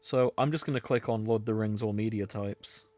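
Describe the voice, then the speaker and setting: A man's voice: lowish voice, fairly even intonation, fairly quick, a little mellow, no echo. A man hosting a podcast, chatting in a casual, comedic way. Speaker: podcast host.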